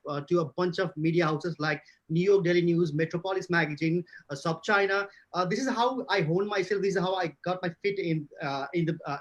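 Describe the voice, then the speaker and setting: A man's voice: high-pitched, highs cut off. A man with a Nepali accent, a journalist answering on a conference panel, stumbling through restarts and fillers. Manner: hesitant, many restarts and fillers.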